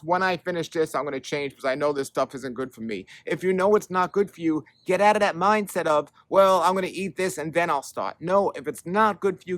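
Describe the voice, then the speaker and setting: A man's voice: on the high side, fairly bright tone, no echo. A middle-aged man hosting a health show, giving an earnest motivational talk that urges and repeats for emphasis. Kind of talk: motivational monologue to camera.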